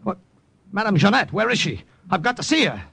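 A man's voice: high-pitched, big pitch swings, brisk, gravelly, sharp tone, husky, dry recording, heavily acted. An actor playing a desperate young male army sketch artist turned spy in 1940s radio drama, talking in an urgent, flustered way. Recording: noisy old radio broadcast.

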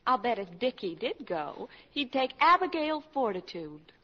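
A nosy, teasing student in an old radio comedy. Her highish voice swoops with arch needling. It rings with reverb on a dated, dull recording. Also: very animated, moderate pace. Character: nosy, teasing young college girl roommate. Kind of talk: 1940s radio comedy acting.

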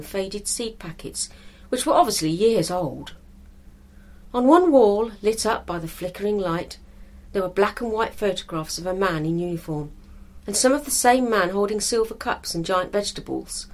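A woman reading a scripted story as narrator, in a measured, expressive storytelling manner. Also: fairly low pitch.

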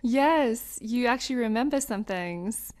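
A woman's voice: a little high, expressive, unhurried, darkish tone. A woman hosting an interview podcast, asking questions and giving short encouraging replies. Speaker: podcast host. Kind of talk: conversational interview.

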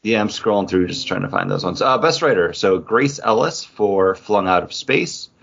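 Man, podcast host, low husky voice, informal, off-the-cuff, dull lo-fi audio. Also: expressive, moderate pace, fairly bright tone. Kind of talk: casual chat, reading out award nominees.

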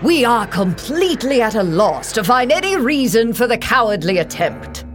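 A formal, indignant park manager in an audio play. The voice is fairly high and very animated, declaiming a statement over music. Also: female voice, moderate pace. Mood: formal indignation. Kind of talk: scripted dramatic quotation in an audio play. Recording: music under the voice.

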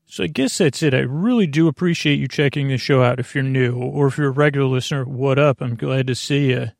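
Man, gravelly creaky voice, soothing, meandering bedtime monologue, halting and self-interrupting, dry close sound. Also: mid-range voice, dry recording. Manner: soothing, lulling. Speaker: podcast host. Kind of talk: rambling bedtime sleep podcast monologue.

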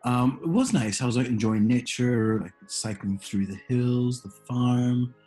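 A man's voice: medium pitch, measured pace, mellow, breathy edge, faint music behind. A man in casual chat, telling a rambling everyday story with lots of fillers and restarts.

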